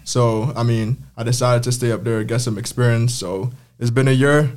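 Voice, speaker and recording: A young man from the Caribbean chats in a relaxed, easygoing way as a podcast guest. He laughs easily and tells his story plainly, with a voice of middle pitch. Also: normal speed. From Caribbean, Saint Croix. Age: young adult. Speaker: guest, campus barber. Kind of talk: casual podcast chat.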